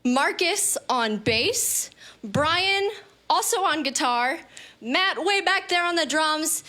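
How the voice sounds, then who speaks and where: A woman talks to a live audience between songs, her voice sitting very high. She rattles through her lines in a quick, offhand, chatty way. The recording is a live stage mic that sounds a little distant, with the band and its gear close behind her. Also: bright, forceful tone. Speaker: band member introducing songs. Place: live music venue studio.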